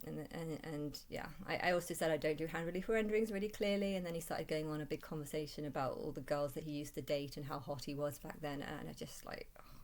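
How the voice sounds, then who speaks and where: A woman giving a rambling personal monologue, halting and often restarting phrases, with exasperation when she retells exchanges. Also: deep voice, fairly even intonation. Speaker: vlogger.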